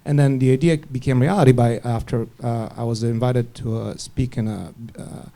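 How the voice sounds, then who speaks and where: Middle-aged male physician panelist, gravelly dark tone, halting delivery. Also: medium pitch, steady tone. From Bulgaria. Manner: halting, many restarts and fillers. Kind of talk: panel discussion storytelling.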